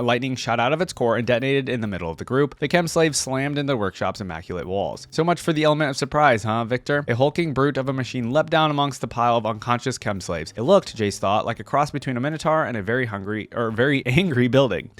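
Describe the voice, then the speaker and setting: A man's voice: mid-range voice, no echo. A man hosting a reaction video, reading a story aloud expressively and adding casual, informal commentary.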